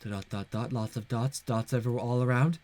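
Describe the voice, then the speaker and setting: A man's voice: mid-range voice, fairly even intonation, fairly quick, a little mellow. A man hosting a let's play, giving casual commentary and reading narration from a visual novel. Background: music.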